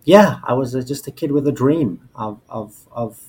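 A man in his forties from Albania, a native Albanian speaker, telling his personal story as an interview guest in a halting, repetitive way. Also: mid-range voice, a little mellow, husky. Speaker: interview guest, corporate lawyer. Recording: noticeable background noise.

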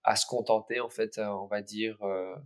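Irish-accented man, native English speaker, lowish voice, hesitant guest answers. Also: little pitch movement, moderate pace. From Ireland. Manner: hesitant, many fillers and restarts. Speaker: startup cofounder, guest. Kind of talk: casual interview answers.

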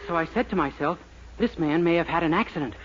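A man acts out a high-pitched, earnest young fellow who is cheerfully dim and guileless. The style is 1940s radio comedy, with a muffled, hissy sound. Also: fast talker, lightly acted. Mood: earnest, oblivious. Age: young adult. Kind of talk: 1940s radio sitcom acting. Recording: muffled, noisy old radio broadcast.

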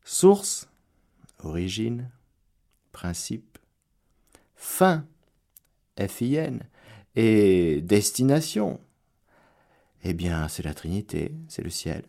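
Male priest, radio sermon: slow, measured delivery, mellow tone, bursts of fervor then quiet prayer. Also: on the low side, big pitch swings, vocal fry. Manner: preacherly, swings between loud exclamations and hushed prayer. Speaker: priest giving catechesis on radio. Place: radio studio.

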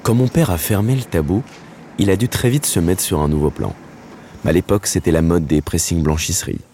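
A man. He narrates a scripted first-person story. His delivery is wry and slangy, broken by dramatic pauses. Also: deep voice, mellow, slight background noise. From Paris, France. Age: 30s. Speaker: narrator telling his own life story.

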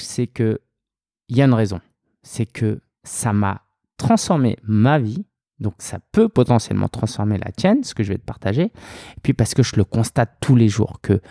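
Mellow-voiced French man hosting a podcast. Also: medium pitch, no echo, studio quality. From France. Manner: conversational, addresses listener directly with many fillers. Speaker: podcast host. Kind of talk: solo business podcast monologue.

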